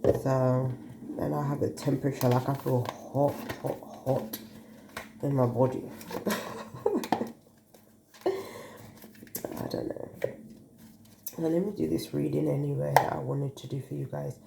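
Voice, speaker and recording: A woman's voice: rumbling low, flat delivery, unhurried, gravelly, long pauses, heavy background noise. A woman with a cold, sounding sniffly, thinking aloud as she gives a casual tarot card reading to viewers, recorded on a phone. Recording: echoey room.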